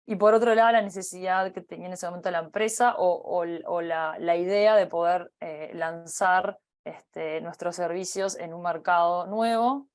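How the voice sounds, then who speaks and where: A woman in her thirties with a Uruguayan accent, giving a personal career talk, pausing often with fillers and restarting her phrases. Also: fairly low pitch, steady tone. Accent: Uruguayan Spanish. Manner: hesitant, many fillers and restarts. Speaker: company partner giving a talk.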